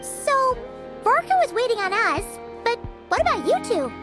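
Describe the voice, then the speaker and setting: A woman voicing an excitable little floating girl companion in a game cutscene, bright and eager. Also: very high-pitched, normal speed. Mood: curious. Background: game soundtrack music.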